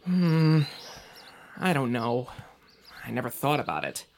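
A man's voice: mid-range voice, big pitch swings, takes it slowly, a little hiss or hum. An actor playing a doubtful, earnest young man in an audio drama, speaking in a conversational, questioning way.

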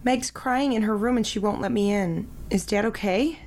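An actress playing a shy, kind, earnest young sister in a modern audio drama, speaking gently. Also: female voice, medium pitch, moderate pace, slight background noise, close to an everyday voice. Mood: concerned.

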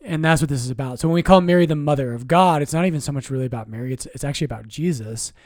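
Relaxed priest, dry mic. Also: male voice, fairly high pitch, rapid-fire, no room sound. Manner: relaxed, explains doctrine with jokes and self-corrections. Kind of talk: casual podcast chat.

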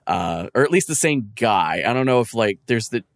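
A man co-hosting a podcast in casual chat, talking in a halting, rambling and blunt way. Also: medium pitch, expressive, no echo, studio quality. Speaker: podcast co-host.

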